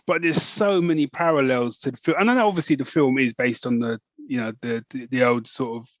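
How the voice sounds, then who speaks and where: A man's voice: mid-range voice, no echo. Enthusiastic man recommending comics, dry sound. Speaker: podcast co-host. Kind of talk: casual chat and reading a comic blurb.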